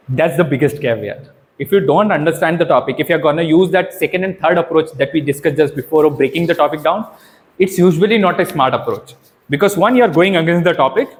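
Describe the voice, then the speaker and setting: High-pitched man leading a speaking workshop. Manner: animated, instructive, asks rhetorical questions and checks in. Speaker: workshop trainer leading a public speaking session. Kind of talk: interactive speaking and voice training workshop.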